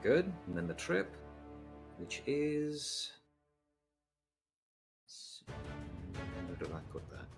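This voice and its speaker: A man with a slightly low voice talks casually as a player at a tabletop game. Music plays clearly behind him. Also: big pitch swings, drawn-out words, pauses often. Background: background music.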